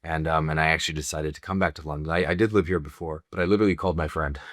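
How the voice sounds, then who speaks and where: A man's voice: extremely low pitch, steady tone, very fast, a bit bright, dry recording, clean studio sound. A young man from Singapore talking candidly in a casual vlog, rambling and reflective about his plans. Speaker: vlogger and founder.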